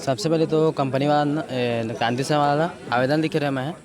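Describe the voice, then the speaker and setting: A man's voice: fairly high pitch, fairly even intonation, a little fast. A man with a Chhattisgarhi accent giving short, plain colloquial answers as an interviewee. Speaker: interviewee from a victim's family. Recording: outdoor recording with crowd noise. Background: crowd.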